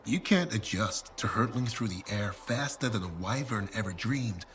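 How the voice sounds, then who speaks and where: A low male voice of a theatrical merchant played by an actor in a video game. He is chatty and salesmanlike, yet self-deprecating. Faint ambience runs underneath. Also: normal speed, a bit bright, a little hiss or hum, close to an everyday voice. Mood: chatty, showy. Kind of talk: video game dialogue acting.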